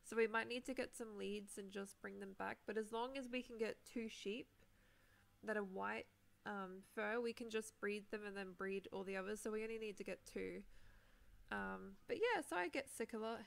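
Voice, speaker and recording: A woman's voice: medium pitch, moderate pace, vocal fry. A young woman from New Zealand chatting casually while playing a game on a live stream, rambling with fillers and frequent laughs. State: recovering sore throat. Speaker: Minecraft streamer.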